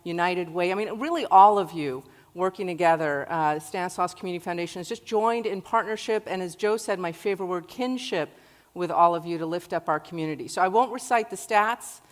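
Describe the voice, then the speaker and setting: A woman's voice: lowish voice, fairly quick. A woman in her forties, born in Iraq, giving an earnest personal talk to an audience in a large hall. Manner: earnest, warm-hearted storytelling. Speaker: guest speaker. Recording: long hall reverb.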